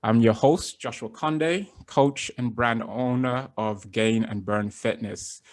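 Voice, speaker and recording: A young man hosting a podcast as a fitness coach, chatting in a relaxed, loose way with lots of fillers and restarts. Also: medium pitch, takes it slowly. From based in London. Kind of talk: casual interview chat.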